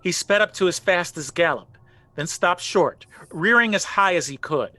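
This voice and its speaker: A man narrating a spooky folk legend for a radio play with measured, theatrical storytelling. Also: high-pitched, lively intonation, bright, forceful tone, a little hiss or hum. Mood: dramatic. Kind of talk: scripted dramatic narration.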